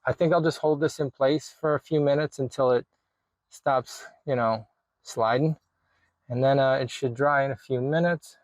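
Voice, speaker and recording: A man's voice: mid-range voice, steady tone, normal speed, no room sound. A man narrating a hands-on DIY vlog in an informal way, thinking aloud as he works. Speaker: video creator showing a DIY radio install.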